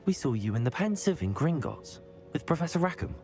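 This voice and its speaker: An actor playing an earnest young wizard student in a game cutscene, speaking politely and plainly. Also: male voice, fairly high pitch, sing-song, a little fast, darkish tone. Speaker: player character. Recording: faint music behind.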